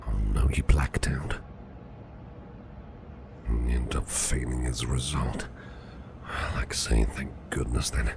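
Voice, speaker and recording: A man playing a kindly, protective businessman boss in an ASMR roleplay, speaking in a gentle, reassuring, gravelly murmur. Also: fairly even intonation, unhurried, pauses often, exaggerated voice. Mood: worried, soothing. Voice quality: very deep, gravelly, breathy murmur. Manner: gentle, reassuring, softly spoken. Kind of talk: ASMR personal attention roleplay. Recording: clear background noise and ambience.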